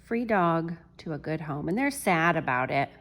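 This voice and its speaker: A woman teacher reading a picture book aloud to children, animated and chuckling, pausing to talk to her class. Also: low voice. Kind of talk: children's read-aloud storytime.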